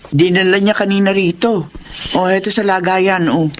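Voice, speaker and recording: A woman's voice: mid-range voice, fairly even intonation, normal speed, a little hiss or hum, lightly acted. An actress playing a working Filipino mother, a kindly and easily alarmed laundrywoman, in a radio drama. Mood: curious.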